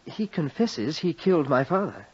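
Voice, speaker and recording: An actor playing a polite, calculating young gentleman heir in an old radio drama. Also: fairly high pitch, sing-song, moderate pace, slightly rough. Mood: cool, matter-of-fact. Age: young adult. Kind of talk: 1950s radio drama acting. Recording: muffled vintage radio recording.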